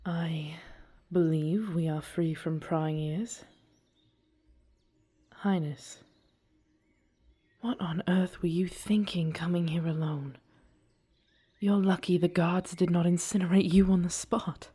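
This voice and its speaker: Woman voicing a dragon princess commander, audio drama, earnest, measured slow delivery, soft warm tone, solemn formal phrasing. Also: on the low side, steady tone, husky. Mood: relieved, hushed. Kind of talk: scripted audio roleplay drama.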